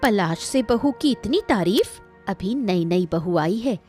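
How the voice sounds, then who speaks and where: A woman voicing a sharp-tongued, scheming mother-in-law in an animated family story, speaking in a bossy, scolding way. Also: mid-range voice, slow, faint music behind.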